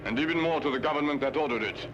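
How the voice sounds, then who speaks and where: A man's voice: a little high, very fast, close to an everyday voice. Man playing a menacing, curt crook. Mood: cold menace. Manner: curt, threatening. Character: menacing, cold male armed crook demanding treasure. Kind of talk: old-time detective drama acting. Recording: dull vintage soundtrack, music behind.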